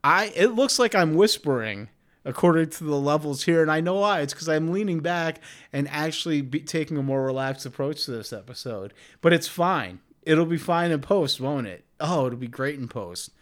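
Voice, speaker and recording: A man's voice: on the high side, lively intonation, normal speed. A man in his forties hosting a comedy podcast, chatting casually in a profane, rambling way. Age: 40s. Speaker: podcast host.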